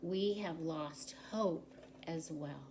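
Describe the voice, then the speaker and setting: A woman's voice: low voice, drawn-out words, noisy background, full character voice. Woman reading as earnest, emphatic storybook townsfolk. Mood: dejected. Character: luckless simple townspeople, earnest storybook crowd.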